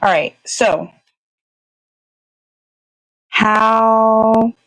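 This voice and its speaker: A woman's voice: a little high, very slow, a bit bright, dry recording, low-quality recording. A woman hosting a morning show with casual, laid-back, rambling chat.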